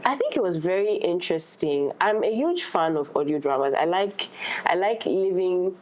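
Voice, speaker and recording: A woman's voice: lowish voice, animated, normal speed, a little mellow. A woman talking casually as an actress, repeating words for emphasis. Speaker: actress in discussion. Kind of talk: casual unscripted conversation.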